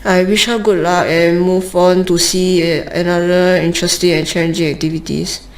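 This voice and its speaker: A woman reading a passage aloud as an exam candidate, monotonous and hesitant, with slurred words and stumbles. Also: on the low side, normal speed, rough recording.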